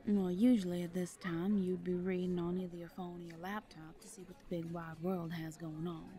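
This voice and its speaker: A woman acts an affectionate, nosy confidante in an audio drama. Her voice is fairly low and deeply mellow, with folksy, sassy teasing. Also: little pitch movement, normal speed, lightly acted. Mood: playfully prying.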